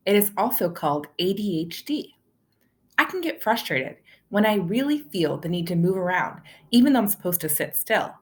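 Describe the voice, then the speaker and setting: Female storyteller for children's picture book read-aloud, animated and expressive, mid-range pitch. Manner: animated, addresses child listeners with questions.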